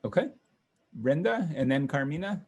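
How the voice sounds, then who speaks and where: A man's voice: fairly high pitch, moderate pace, highs cut off. Man hosting a video call. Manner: relaxed, friendly, lots of fillers. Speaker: host of online class session. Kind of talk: casual introductions on a video call.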